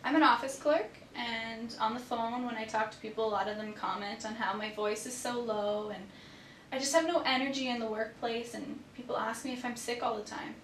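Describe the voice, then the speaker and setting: Young woman, patient role, casual answers, fairly high voice, distant mic, faint background noise. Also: a little fast, a bit bright. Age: young adult. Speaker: patient in a clinical skills demonstration. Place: small clinic exam room, indoors. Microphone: far camera microphone. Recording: echoey room, distant mic, faint rumble.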